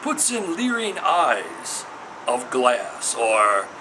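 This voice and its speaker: Declamatory man reading poetry over loud noise. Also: mid-range voice, wildly swooping pitch, very slow. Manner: declamatory, theatrical, emphatic. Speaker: poet reading own work. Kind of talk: dramatic poetry reading, incantatory.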